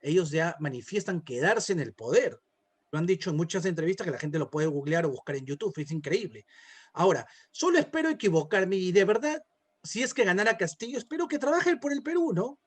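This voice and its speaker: High-pitched Peruvian man giving political commentary. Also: very animated, a little fast, slightly rough. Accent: Peruvian Spanish. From Peru. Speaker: political consultant, interview guest.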